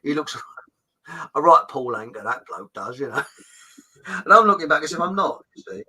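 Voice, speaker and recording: Bright, lively man telling rambling anecdotes. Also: fairly high pitch, moderate pace, slightly rough. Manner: rambling anecdotes, frequent laughs, mild swearing. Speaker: podcast host. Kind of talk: casual storytelling chat.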